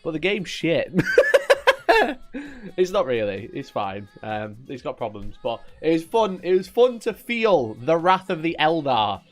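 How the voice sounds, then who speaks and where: A man's voice: a little high, big pitch swings, a little slow, faint music behind. A man with a Yorkshire accent, casually chatting at his desk on a live stream, reading viewers' messages, joking, teasing and laughing often. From Northern England.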